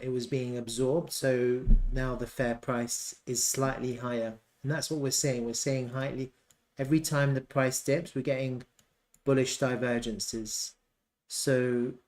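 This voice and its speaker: A man's voice: mid-range voice, a little mellow. A man hosting a live market analysis, explaining step by step and repeating key points for emphasis. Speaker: live stream host and trading educator. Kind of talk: live chart analysis commentary.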